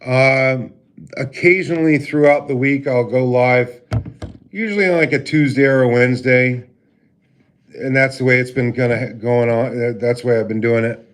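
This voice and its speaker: A man with a deep voice hosting a casual livestream, greeting viewers by name and talking with many halting filler pauses. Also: fairly even intonation, clear, resonant tone. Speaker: livestream host. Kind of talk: casual live stream chat with viewers. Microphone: laptop mic.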